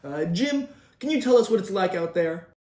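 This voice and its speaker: A teenage boy hosting a mock newsreel as anchor, in a mock-formal, deadpan announcing manner. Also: high voice, normal speed. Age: teens. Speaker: news anchor. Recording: roomy echoing room.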